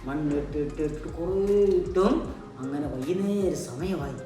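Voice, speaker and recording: Woman, low pitch, children's storyteller, teacherly questioning, repeats key words, heavy echo, background music. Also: measured pace, mellow. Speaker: puppet show host, storyteller. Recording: very echoey, distant mic, background music.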